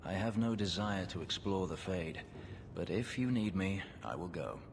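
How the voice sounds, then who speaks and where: An actor playing a reluctant but loyal companion in a video game, reserved and terse. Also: male voice, lively intonation, normal speed, creaky. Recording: noisy rumble behind.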